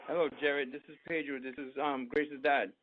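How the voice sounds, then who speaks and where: A man's voice: a little high, steady tone, moderate pace. A middle-aged man chatting casually as a father, with an insistent, questioning way of talking, over a doorbell intercom. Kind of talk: casual conversation. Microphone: doorbell intercom call. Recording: phone-like doorbell intercom audio, clear background noise.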